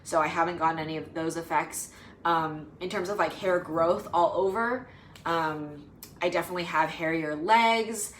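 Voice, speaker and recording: A woman's voice: low voice, normal speed, a bit bright, a little hiss or hum. A young adult woman vlogging casually to the camera, rambling and hesitant with lots of fillers. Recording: roomy echo.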